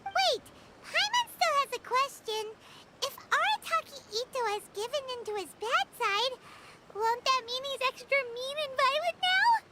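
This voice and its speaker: A woman voicing a tiny, childlike fairy companion in a video game, squeaky, naive and full of exclaimed questions. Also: lively intonation, moderate pace, very noisy. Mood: curious. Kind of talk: video game character dialogue. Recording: loud game music behind the voice.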